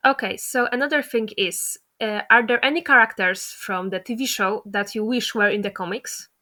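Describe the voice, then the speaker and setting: A woman's voice: medium pitch, moderate pace, pushed and bright. A woman hosting a casual interview, asking questions in an informal, slightly tentative way.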